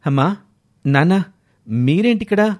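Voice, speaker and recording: A man's voice: high voice, lively intonation, unhurried, darkish tone, pitched above the performer's own voice. A man voicing a caring, indignant young son in a storybook tale, earnest and questioning. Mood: curious, surprised.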